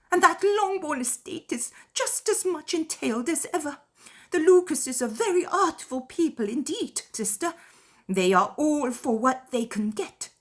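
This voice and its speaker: Audiobook matron, middle-aged, shrill and self-pitying, plaintive delivery pitched well up. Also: female voice, fairly bright tone. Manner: plaintive, dramatic, aggrieved. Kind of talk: dramatized audiobook character.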